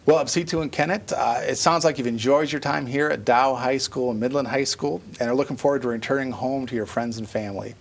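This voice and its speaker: A man's voice: medium pitch, vocal fry. A man hosting a school district TV program, interviewing students in a studio. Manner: brisk, conversational. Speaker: TV host.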